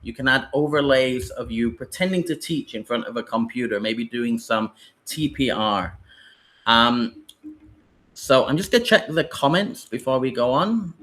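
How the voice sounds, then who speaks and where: A British man talks his way through a live webinar for new online teachers, drifting and rambling with plenty of fillers. His voice sits at an ordinary middle pitch for a man, neither deep nor high. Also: a little slow, fairly bright tone. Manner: loose, rambling explanation with fillers.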